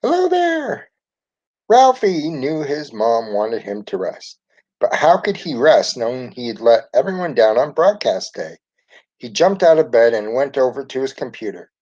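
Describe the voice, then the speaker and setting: A man reading a children's storybook aloud in an expressive way. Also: mid-range voice, moderate pace. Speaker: storybook reader. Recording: dull lo-fi recording.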